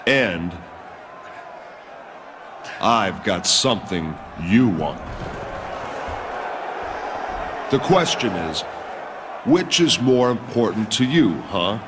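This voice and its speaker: A man playing an arrogant, menacing wrestling champion in a video game, cocky, boastful and deliberate. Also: on the low side, background noise. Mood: cold threat.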